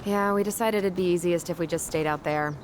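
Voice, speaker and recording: Woman playing young gal in a game, casual n matter of fact, kinda low voice. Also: brisk, a little hiss or hum, lightly acted, rough recording. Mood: wry, friendly. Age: young adult. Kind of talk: naturalistic video game acting.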